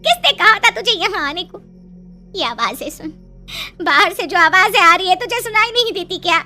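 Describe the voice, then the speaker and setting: Cartoon village mother, played by a woman: piercingly high and bright, anxious, quick to scold. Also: animated, soft background music, heavily acted. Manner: fretful, scolding. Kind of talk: animated storybook drama acting.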